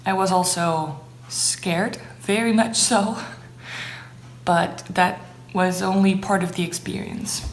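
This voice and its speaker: A woman's voice: on the low side, takes it slowly. A young adult woman, a native Dutch speaker from the Netherlands, chatting casually and enthusiastically as a book vlogger. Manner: enthusiastic, gushing about things enjoyed. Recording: echoey room, faint background noise.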